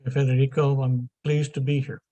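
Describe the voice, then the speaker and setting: An older man from Dublin with an Irish accent, answering interview questions in a halting way with many ums and wry asides. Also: mid-range voice, flat delivery, dark tone, no room sound. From Ireland. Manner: halting, frequent ums, self-deprecating asides. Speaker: guest, software consultant.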